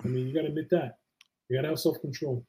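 A man co-hosts a casual live chat show. His voice is a little higher than average for a man. He speaks colloquially, drops in short interjections and relays comments from viewers. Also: steady tone, very fast, creaky, mellow. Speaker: talk show co-host reading chat comments.

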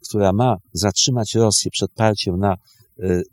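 Halting man, dry close recording. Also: fairly low pitch, steady tone, a little mellow, no echo. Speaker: historian guest. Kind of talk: spontaneous historical commentary.

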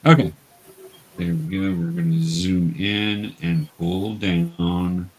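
A man's voice: low-pitched, level tone, slow pace, husky, poor audio. A man guiding players through an online tabletop role-playing game, explaining patiently step by step with some hesitation. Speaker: dungeon master, teacher.

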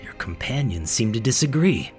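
A man playing a cold, taunting villain in a radio drama, with a haughty, deadpan delivery. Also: medium pitch, moderate pace, soft background music, lightly acted.

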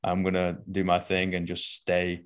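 A very deep-voiced man with a Northern English accent talks casually in a podcast chat. He is a fitness coach, blunt and profane, and keeps checking in with "right?" and "man". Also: completely flat delivery, normal speed, clean tone. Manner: blunt and profane, says "right?" and "man" after almost every point.

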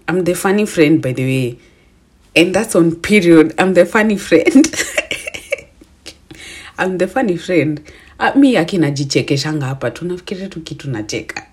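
A woman in her twenties with a Kenyan accent and a deep voice, hosting a casual solo podcast in a chatty, self-affirming way and laughing at herself. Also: a little mellow, husky. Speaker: podcast host.

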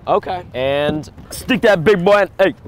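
A young man in his twenties with a hoarse, fading voice, chatting casually to viewers on a road trip vlog from his car and charging stops. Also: high voice, a little slow, slight background noise. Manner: excitable, joking, talks to viewers. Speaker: vlogger.

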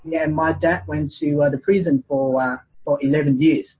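Vietnamese-accented man, hesitant and husky. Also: fairly high pitch, steady tone, brisk, muffled recording. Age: 40s. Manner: hesitant, lots of fillers and restarts. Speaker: guest. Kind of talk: casual interview.

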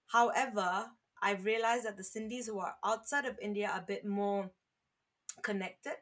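A woman's voice: mid-range voice, steady tone, normal speed, fairly bright tone. A woman from Malaysia, a podcast guest, talking earnestly and rambling with "you know" and restarts. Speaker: podcast guest, founder of an online community page. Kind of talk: casual conversational interview.

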